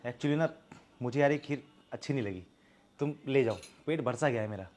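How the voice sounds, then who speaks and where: A man's voice: mid-range voice, a little fast, a little mellow, close to an everyday voice. A young man with an Indian accent acting a stubborn, fault-finding but earnest husband in a domestic drama. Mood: picky. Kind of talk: scripted domestic drama acting in Hindi with some English.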